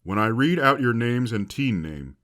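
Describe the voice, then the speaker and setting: A man playing a grave, compassionate academy headmaster in an audio drama, speaking in formal, measured oratory. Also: medium pitch, animated, a bit bright, lightly acted. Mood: formal, announcing. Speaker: voice actor. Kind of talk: fan audio drama speech.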